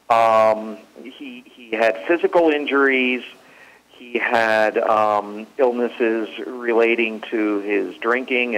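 A man with a mid-pitched voice gives an informal history talk as presenter. His delivery is hesitant and halting as he explains things. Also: fairly bright tone, low-quality recording. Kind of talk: informal historical talk introducing radio clips.

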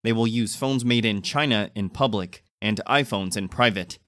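A man's voice: on the low side, normal speed, a bit bright, no room sound. A man reading scripted news narration as a news narrator.

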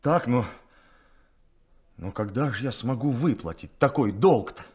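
An actor playing a simple, earnest and proud sailor in a 1970s Soviet radio play, talking in a colloquial, halting way. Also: male voice, medium pitch, expressive, darkish tone, husky. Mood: troubled, protesting.

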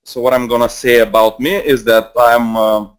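A young Bulgarian man in his twenties speaks English with a Bulgarian accent through a headset mic. He presents a trading webinar in a loose, conversational way, restarting sentences and padding them with fillers. His voice sits at an ordinary middle pitch. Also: flat delivery, normal speed. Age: 20s. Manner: casual, rambling with many fillers and restarts. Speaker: trading mentor.

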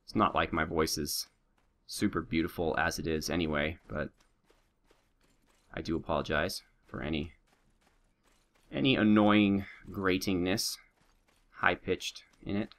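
A man's voice: low-pitched, measured pace, pauses often. A man doing joking gameplay commentary, slightly sick with a bit of a nasal sound and a sore throat. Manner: animated, joking, talks to his troops and viewers. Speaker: let's play host.

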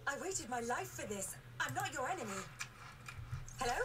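A woman's voice: high voice, animated, moderate pace, fairly bright tone. An actress playing an earnest woman explorer in a video game, speaking in short, questioning lines. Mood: earnest, wary.

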